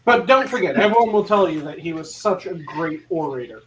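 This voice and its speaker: A man's voice: fairly high pitch, animated, brisk, a bit bright, rough recording. A man in casual, joking chat as one of a panel. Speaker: panel member. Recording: faint background noise.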